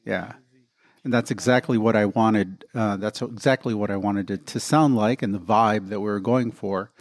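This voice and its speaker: A man's voice: on the low side, steady tone, normal speed, dry recording. A man hosting a podcast, enthusiastic and rambling, often restarting his sentences. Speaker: podcast host. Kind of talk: casual chat.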